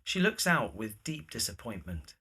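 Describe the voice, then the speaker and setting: A man's voice: on the low side, sing-song, normal speed, pushed and bright, breathy edge. A man reading scripted scene narration as the narrator of a period drama.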